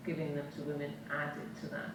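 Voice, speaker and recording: A woman's voice: sits low, completely flat delivery, brisk. A woman theatre director on a panel, speaking emphatically with firm opinions. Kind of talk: panel discussion. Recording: echoey hall from far off, noisy.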